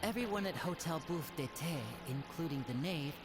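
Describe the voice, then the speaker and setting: An actor voicing an earnest young male stage magician in a video game, speaking in a measured, solemn way over loud background ambience. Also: on the high side, lively intonation, background noise, natural voice. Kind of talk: scripted video game dialogue.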